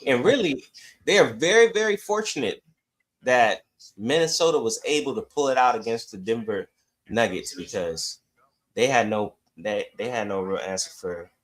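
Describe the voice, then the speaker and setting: A man's voice: medium pitch, expressive, moderate pace, low-quality recording. Laid-out casual male sports co-host.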